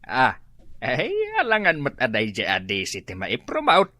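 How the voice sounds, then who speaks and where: A sly office coworker in a radio comedy, lecturing and teasing with wildly swooping pitch, played by a woman. She speaks slowly in a lowish voice, recorded dry and close. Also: sing-song, pushed and bright, no room sound, full character voice. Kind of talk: radio comedy drama acting.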